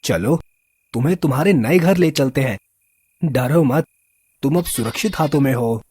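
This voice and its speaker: Kind young villager for an animated story, tender and lively, husky. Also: male voice, medium pitch, moderate pace, dry recording.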